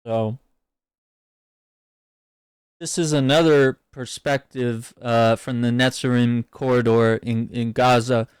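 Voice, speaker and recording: A man hosting casual political commentary, reacting to clips in a halting way and often repeating his words. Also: medium pitch, fairly even intonation, clear, resonant tone, no echo, clean studio sound.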